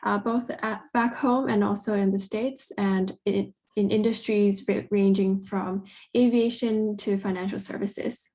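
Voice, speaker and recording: Mellow young woman from Hong Kong. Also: medium pitch, steady tone, unhurried, few pauses. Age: 20s. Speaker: senior student panelist.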